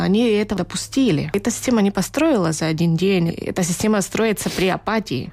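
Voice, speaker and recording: A woman from Ukraine, an author being interviewed, speaking thoughtfully and pausing to search for words. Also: mid-range voice, a little mellow. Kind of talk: reflective interview talk.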